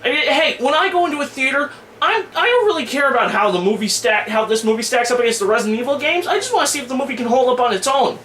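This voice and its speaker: Male vlogger, high-pitched and forceful, blunt slangy reviewer rant, roomy home-made sound. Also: fast talker. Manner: informal, griping, blunt slangy delivery. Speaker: online movie and game reviewer, host. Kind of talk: casual opinion rant to camera. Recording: echoey room with faint background noise.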